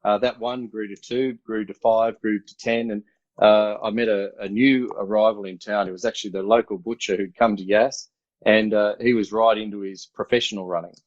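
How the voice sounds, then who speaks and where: A man in his fifties with an Australian accent, an athletics coach telling stories in a relaxed, rambling way over a video call. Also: fairly low pitch, moderate pace, no room sound, muffled recording. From country New South Wales, Australia. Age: 50s. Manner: relaxed, rambling, many uh fillers. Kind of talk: casual remote interview storytelling.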